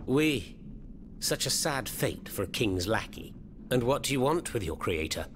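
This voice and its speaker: Theatrical French revolutionary, animated swooping pitch. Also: male voice, unhurried, a little hiss or hum. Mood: wry. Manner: theatrical, florid, eloquent. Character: theatrical, fervent male French revolutionary hiding underground. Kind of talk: video game cutscene acting.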